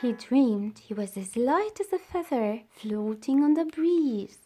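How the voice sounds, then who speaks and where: A woman reading a children's story aloud as storyteller, with deliberate, dramatised phrasing. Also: a little high, expressive, warm tone.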